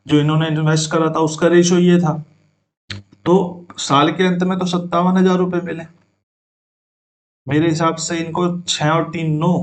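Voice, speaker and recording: Man teaching math step by step. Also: fairly high pitch. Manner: talks students through each step, addressing them as brother. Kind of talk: exam-prep math lesson.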